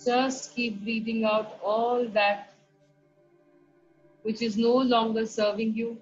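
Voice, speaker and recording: A woman with a fairly high voice leads a guided meditation, speaking very slowly and softly with long pauses between phrases. The recording is dull and lo-fi, with faint music underneath. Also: little pitch movement. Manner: soft, slow instructions with long pauses. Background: soft background music.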